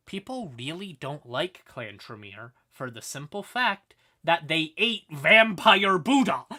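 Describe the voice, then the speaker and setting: Male livestream host, conversational and sweary lore explainer, mid-pitched voice with bright, punchy tone.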